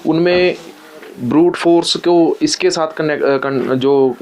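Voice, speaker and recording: A man's voice: on the high side, slow, crowd noise. A man explaining things informally in a vlog chat, in a hands-on way, often checking that the listener follows. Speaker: walkie-talkie user explaining gear to the host.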